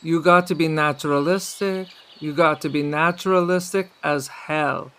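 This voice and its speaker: A man's voice: a little high, fairly even intonation, normal speed, sharp tone, clear, resonant tone. A man acting in an audio drama as a streetwise police mentor, emphatic and instructive. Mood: insistent.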